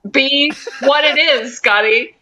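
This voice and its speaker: Bright, playful woman co-host. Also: sits high, takes it slowly, poor audio. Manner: playful and expressive, laughs often. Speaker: podcast co-host. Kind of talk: casual pop-culture chat.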